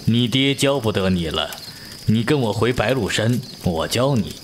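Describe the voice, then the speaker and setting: A man's voice: lowish voice, expressive, moderate pace, a little hiss or hum, lightly acted. Man acting an avuncular middle-aged martial-arts master. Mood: reminiscing. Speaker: voice actor. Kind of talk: Chinese wuxia audio drama acting.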